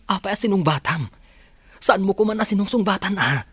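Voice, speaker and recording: A man's voice: high-pitched, animated, fairly quick, fairly bright tone, slightly rough, dry recording, full character voice. A man voicing a bossy, teasing employer in a radio drama. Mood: alarmed, scolding.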